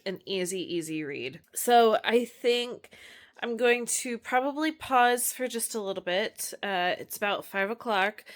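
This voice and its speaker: A woman in her thirties chatting casually in a home vlog, her voice hoarse from cheering, rambling easily with fillers. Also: medium pitch, a bit bright. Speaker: vlogger, homeschooling mom. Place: at home, kitchen. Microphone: handheld camera.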